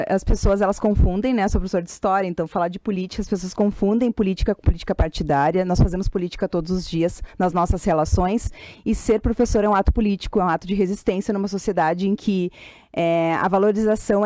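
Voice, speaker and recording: Brief: female teacher guest, quick, mellow voice, dry room. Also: mid-range voice, no room sound. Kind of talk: interview answers.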